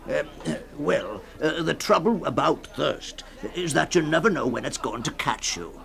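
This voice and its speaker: A very gravelly, creaky old man in an audio drama, telling his tale slowly. He hems, haws and doubles back on his words, with lively swings of pitch, a rambling raconteur who likes a drink. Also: full character voice. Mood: hesitant. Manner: hemming and hawing, repeats himself. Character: rambling, hesitant old storyteller fond of a drink. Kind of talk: dramatised short story.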